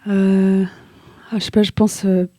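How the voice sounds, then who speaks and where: A young woman from Italy, a singer being interviewed, talking in a relaxed, slangy way and often pausing to search for her words. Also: mid-range voice, no room sound. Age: young adult. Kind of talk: casual interview chat.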